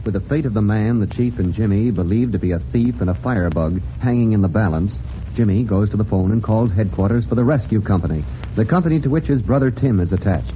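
A man's voice: on the low side, quick pace. An American man narrating as an announcer in a dramatic, emphatic old-time radio style. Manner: emphatic, theatrical. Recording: muffled, noisy old radio recording.

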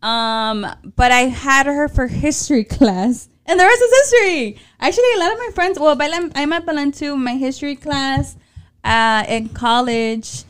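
Late 20s mexican american girl hosting a podcast, kinda high voice, cusses a lot, mixes in spanish. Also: moderate pace, a bit bright. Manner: casual, profane, laughing, switches into Spanish. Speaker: podcast co-host. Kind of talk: casual chat.

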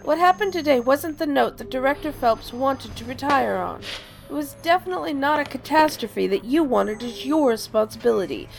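A woman's voice: high-pitched, expressive, moderate pace, gravelly, soft background music. A woman playing a stern, cold, commanding deputy director in a science fiction audio drama. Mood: icy, cutting. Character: stern, imperious female deputy director of a federal agency.

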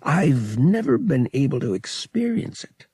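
Cynical young space pilot for audiobook, read by a man: wry, wary, gravelly, husky. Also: fairly high pitch, big pitch swings, a little slow, a little mellow, dry recording, studio quality, pitched above the performer's own voice, full character voice. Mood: guarded, disgusted. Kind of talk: audiobook character dialogue.

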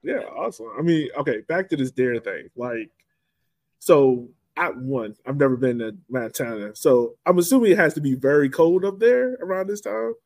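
A man's voice: mid-range voice, expressive, normal speed, a little mellow, no echo. A man hosting a podcast, asking questions in an informal, slangy way. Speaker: podcast host, interviewer. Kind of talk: casual chat interview.

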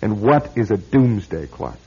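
An actor playing a wry, impatient young bachelor in a classic radio drama. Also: male voice, fairly low pitch, very animated, unhurried, dark tone, slightly rough, exaggerated voice. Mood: skeptical, puzzled. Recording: dull, lo-fi old radio recording.

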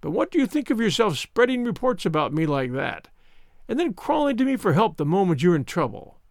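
A man's voice: high-pitched, big pitch swings, brisk, dry recording, studio quality, voice pushed up. A man voicing a smug, cruel villain who taunts and sneers in a dramatized audiobook reading. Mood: indignant, sneering. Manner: taunting, insistent, mock-concerned.

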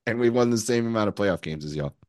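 A man with a hoarse, worn voice, co-hosting a casual sports podcast and needling his friend. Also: lowish voice, lively intonation, fast talker, no room sound, studio quality. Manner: teasing, needling his co-host. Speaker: podcast co-host.

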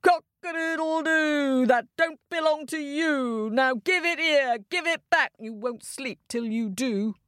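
A woman's voice: sits high, unhurried, few pauses, a bit bright, no echo, clean studio sound, voice pushed up. A woman voicing a brave, cocky little rooster in a children's story, crowing shrilly and making bold demands. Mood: defiant, demanding.

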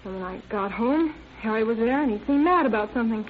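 A woman's voice: a little high, animated, fast, natural voice. An actress playing a nervous, frightened married woman in an old radio drama, hesitant, flustered and pleading. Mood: anxious, flustered. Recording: tinny, noisy old radio recording.

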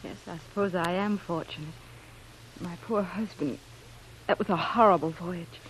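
A woman's voice: on the low side, moderate pace, lightly acted. An actress playing a plucky shipwrecked wife in a vintage radio drama, telling her tale earnestly. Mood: demure. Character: plucky shipwrecked young wife spinning a cover story. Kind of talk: 1940s radio acting. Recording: noisy vintage radio recording.

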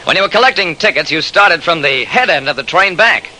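A railroad detective in an old radio mystery, narrating with clipped, emphatic delivery. The voice is piercingly bright and very lively in pitch, on a faintly noisy vintage recording. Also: male voice, brisk. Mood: probing, brisk. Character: brisk, methodical male railroad detective narrating his case. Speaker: narrator and lead investigator.

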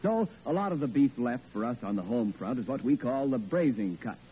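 Male announcer, lively pitch, persuasive patter, dull old radio recording. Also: slight background noise. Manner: brisk, persuasive salesman patter.

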